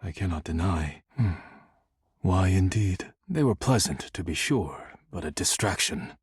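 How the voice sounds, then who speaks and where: An actor playing a stern, formal, work-obsessed man in a video game, speaking in measured, stiff diction. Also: sits low, animated, breathy edge, dry recording, studio quality, exaggerated voice. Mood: wistful, regretful. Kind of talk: video game character dialogue.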